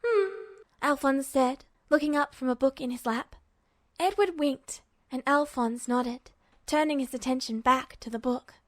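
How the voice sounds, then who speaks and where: Female fiction narrator, fairly high voice, dry recording with no room echo. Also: moderate pace. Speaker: podfic narrator.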